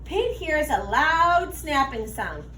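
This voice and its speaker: A woman reading a children's picture book aloud in an animated, deliberate way for young listeners. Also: high voice, roomy sound, a little hiss or hum. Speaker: read-aloud storyteller for children.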